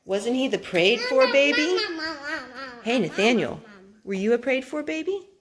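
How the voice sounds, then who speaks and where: A woman reading a Bible story aloud to children, with expressive, deliberate phrasing and drawn-out words. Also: high-pitched, crowd noise.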